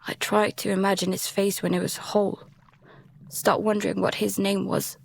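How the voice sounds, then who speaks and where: A woman acting a frightened, exhausted young survivor adrift at sea in an audio drama, halting and husky. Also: mid-range voice, flat delivery, no room sound. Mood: hushed, drained dread.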